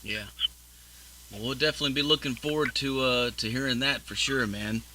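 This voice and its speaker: A man's voice: mid-range voice, a little fast, bright, forceful tone, slight background noise, low-quality recording. A man in his early forties hosting a casual interview, easygoing and swearing freely as he chats. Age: 40s. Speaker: podcast host.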